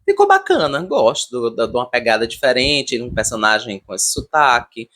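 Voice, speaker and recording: A man's voice: medium pitch, very animated, normal speed, fairly bright tone. A Brazilian man giving casual, unscripted commentary as a video reviewer, repeating words and leaning emphatically on his opinions.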